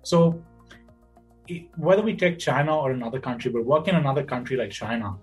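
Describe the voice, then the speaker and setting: A male podcast host asks long, winding questions in a conversational tone, often checking in with "right?". His pitch is ordinary, and soft music hums faintly behind him. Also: fairly quick. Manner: rambling questions, tags with "right?" and "you know". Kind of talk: casual interview conversation.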